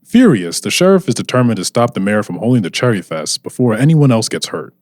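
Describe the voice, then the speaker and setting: A man's voice: on the low side, very animated, fairly quick, darkish tone, dry recording. A man playing the dramatic narrator of a scripted audio serial, building suspense. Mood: suspenseful.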